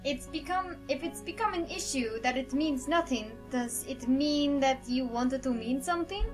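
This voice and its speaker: A woman's voice: sits high, moderate pace, far from the mic, faint music behind. A young woman bantering casually and reading game dialogue aloud in a joking, irreverent way. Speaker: let's play co-host.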